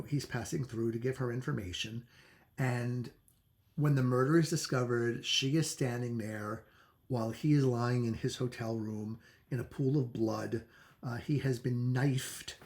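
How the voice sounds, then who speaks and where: This is a man with a noticeably creaky, gravelly voice at an ordinary middle pitch. He talks as a book reviewer would in a casual, unscripted chat. His delivery is halting and conversational, and he often backs up to reword himself. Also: darkish tone. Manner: halting, self-correcting, conversational.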